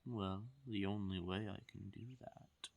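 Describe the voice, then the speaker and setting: A man acts out a fae king of fantasy audio roleplay, smug and possessive. His voice is low and gravelly, and he takes his time with every phrase. He speaks intimately to the listener, teasing and commanding with a silky menace. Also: flat delivery, darkish tone. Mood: smooth persuasion. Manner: slow, teasing, commanding, with drawn-out pauses.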